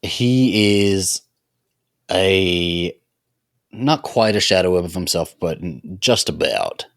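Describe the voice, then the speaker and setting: A man's voice: low voice, lively intonation, takes it slowly, creaky, a bit bright, dry recording, studio quality. A man co-hosting a podcast, thinking aloud in casual, sweary discussion of fiction. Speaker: podcast co-host.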